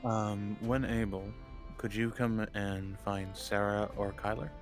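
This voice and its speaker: A man in an online roleplay, speaking measured, compliant dialogue with music behind him. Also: fairly low pitch, level tone. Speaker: roleplay participant.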